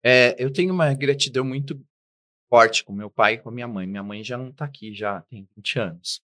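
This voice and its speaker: A man's voice: mid-range voice, fairly quick, fairly bright tone. Middle-aged guy, business guest, acts out the dialogue as he tells his story. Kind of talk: interview storytelling.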